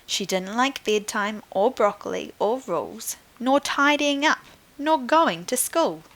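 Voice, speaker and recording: A woman reading a picture book to young children like a primary teacher, in an expressive, deliberate way. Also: on the high side. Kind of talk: scripted storybook narration for young children.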